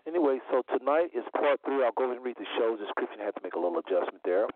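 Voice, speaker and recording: Male call-in host, medium pitch, slightly unwell voice, emphatic repetition, narrow telephone sound. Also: expressive, no room sound. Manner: deliberate, repeats phrases for emphasis. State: voice a little under the weather. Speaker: talk show host. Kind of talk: unscripted call-in religious talk show hosting. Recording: phone-like internet radio audio.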